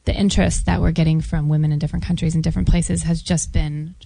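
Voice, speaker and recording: An enthusiastic, playful woman co-hosts a casual studio radio interview. Her voice is low and gravelly with a touch of fry. The sound is dry and close. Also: level tone, fast talker, dark tone, dry recording. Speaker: radio show co-host. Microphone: studio microphone.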